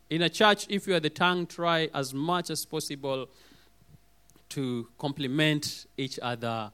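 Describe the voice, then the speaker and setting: A man with a hoarse voice preaching a sermon, calling out for amens and acting out little quoted voices as he goes. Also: on the high side. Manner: lively, calls for amens, acts out quoted voices.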